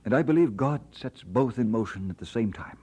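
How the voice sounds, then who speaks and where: An actor playing an earnest, conscientious male pastor in an audio drama, speaking in a measured, deliberate way. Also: mid-range voice, animated, a little mellow, breathy edge. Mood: grave, resolved. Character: earnest, conscientious male pastor torn over his calling. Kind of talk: dramatised radio-play acting.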